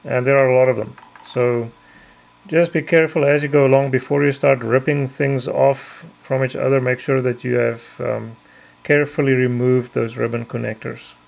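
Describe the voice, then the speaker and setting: A middle-aged man whose first language is Afrikaans, narrating a repair tutorial in a measured, careful way with frequent ums. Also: mid-range voice, fairly even intonation, creaky, a little mellow. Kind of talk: explanatory voice-over.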